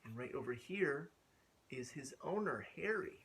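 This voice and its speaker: A man reading a picture book aloud to young children in an animated, drawn-out way, asking questions and dropping into whispers. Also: mid-range voice, noisy background. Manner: drawn-out, asks the listeners questions, drops to whispers. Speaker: children's storytime host.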